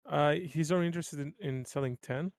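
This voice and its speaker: Guy with a fry-heavy voice talking through rpg choices, dry close sound. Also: fairly high pitch, brisk, no echo. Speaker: player in a tabletop roleplaying game. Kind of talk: casual game discussion.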